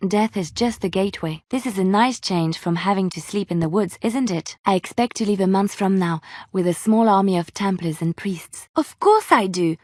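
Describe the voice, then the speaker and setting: An actress playing a gentle, romantic young woman bard in a fantasy video game, soft-spoken, wistful and a little coy. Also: mid-range voice, animated, moderate pace, no echo, studio quality, close to an everyday voice. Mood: solemn, comforting, then earnest. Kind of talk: video game character dialogue.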